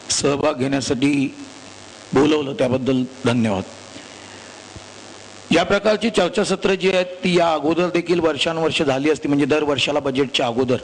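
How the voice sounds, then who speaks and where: A man's voice: fairly high pitch, a little hiss or hum, poor audio. A man with a Maharashtrian accent giving a formal panel speech as a politician, wordy and repetitive, leaning on facts and figures. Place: live public panel event.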